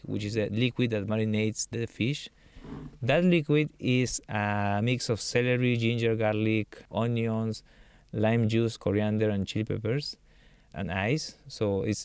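A Peruvian man, a chef, answering interview questions in a relaxed way, thinking aloud with many fillers. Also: on the low side, smooth, no echo. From Peru. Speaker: chef and cookbook author.

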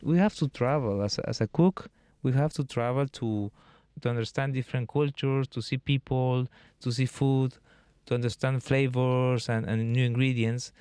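A Peruvian man, a chef, answering interview questions in a relaxed way, thinking aloud with many fillers. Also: medium pitch, normal speed, smooth, no room sound. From Peru. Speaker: chef and cookbook author.

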